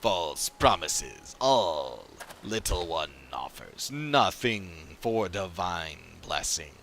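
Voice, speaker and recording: A man voicing a proud, solemn creature from a fantasy game, speaking in halting, simple phrases. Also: low voice, very animated, a bit bright, slight background noise, voice pushed down, full character voice. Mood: stern, solemn. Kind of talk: game dialogue voiced by a streamer.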